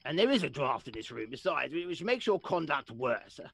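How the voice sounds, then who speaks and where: A crusty old English lord in a radio comedy, gravelly and rather high for a man. He swoops up and down as he stammers and barks in huffy bluster. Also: big pitch swings, moderate pace, a bit bright, full character voice. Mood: scolding. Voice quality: creaky, gravelly. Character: blustering, irascible elderly English lord and father. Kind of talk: period comedy radio play.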